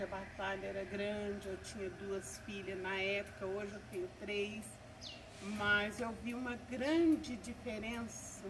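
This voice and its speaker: Woman, 70s, earnest church testimony, mid-pitched, distant mic, noisy outdoor birdsong. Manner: earnest, repeats "né?" and praises God often. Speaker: guest giving testimony. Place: country property.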